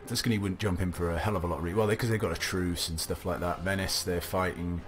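A young man with a low, slightly gravelly voice talks through a strategy game, musing aloud to himself in a loose, chatty way. Soft game music plays faintly under his desk-mic commentary. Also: fairly even intonation, fast. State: recovering from a cough, slight gravel. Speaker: let's play commentator. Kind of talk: casual gameplay commentary.

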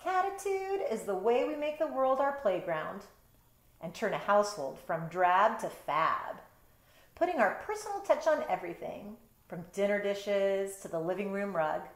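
A woman's voice: medium pitch, sing-song, moderate pace. A woman voicing a smug, playful storybook cat, self-satisfied and instructive about its carefree ways. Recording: roomy bedroom sound.

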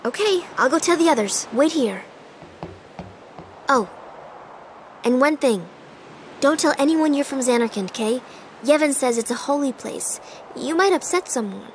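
A woman's voice: high-pitched, expressive, unhurried, long pauses, slight background noise. A woman playing a perky, friendly teenage girl in a video game, chirpy and informal. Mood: upbeat, confiding. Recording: music behind the voice.

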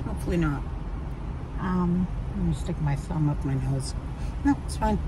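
A woman's voice: fairly low pitch, animated, normal speed. A middle-aged woman chatting casually to camera from her parked car, rambling and self-deprecating. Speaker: vlogger. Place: parked car, outdoors in sun. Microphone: phone camera on dashboard. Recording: distant mic with loud street noise.

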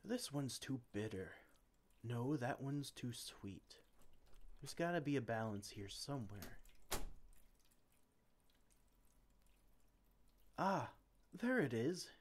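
A man acts out a well-meaning wizard who brews potions and gets flustered. He is theatrical and exclamatory in this ASMR roleplay, with an average male pitch that swoops up and down animatedly. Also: big pitch swings, unhurried. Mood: absorbed, pleased. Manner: theatrical, exclamatory, speaks to a silent assistant.

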